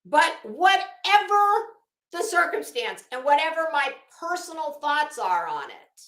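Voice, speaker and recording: An American woman commentator with a high, highly animated voice, speaking in a roomy, echoing space. She delivers dramatic readings and casual commentary slowly and emphatically, drawing out phrases and breaking off for pointed asides. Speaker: commentary show host.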